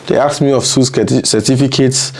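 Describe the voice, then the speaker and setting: A man from Ghana, a guest in an interview, telling his story in an earnest, halting way and dropping in German terms. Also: medium pitch, steady tone, slight background noise.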